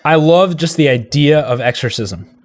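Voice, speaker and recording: A man's voice: on the high side, lively intonation, normal speed. A man co-hosting a live stream, chatting casually and offering his opinions in a conversational way. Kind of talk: casual chat about horror films. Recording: music playing behind the voice. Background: music.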